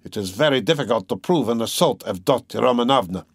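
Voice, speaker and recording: A man's voice: mid-range voice, animated, fast, breathy edge, dry recording, clean studio sound. A man voicing an obsessive, menacing tormentor in an audiobook, feverish, insinuating and emphatic. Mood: cold menace. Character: obsessive, menacing male tormentor, pleading then coldly mocking. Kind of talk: dramatic audiobook character reading.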